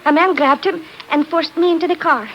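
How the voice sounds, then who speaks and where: A woman's voice: sits high, fairly even intonation, a little fast. A woman playing an earnest young Portuguese woman with in a 1950s radio drama. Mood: shaken, reporting. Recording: muffled, noisy old radio recording.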